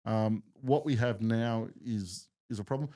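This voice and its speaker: A man's voice: lowish voice, measured pace, warm tone. A man, co-hosting a casual sports podcast and arguing his view steadily. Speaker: podcast co-host.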